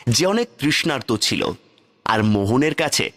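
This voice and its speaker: A man narrating a cartoon fairy tale in steady, plain scripted storytelling. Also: mid-range voice, moderate pace. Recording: faint background music. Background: faint music.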